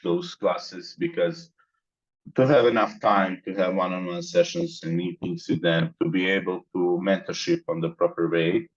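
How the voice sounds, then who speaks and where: A man from the Balkans speaks in a very deep voice, laying out his plans methodically as an interview guest. His audio is dull and lo-fi. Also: fairly even intonation, normal speed. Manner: methodical, explains in long lists. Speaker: interview guest, mentorship organizer. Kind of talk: conversational interview.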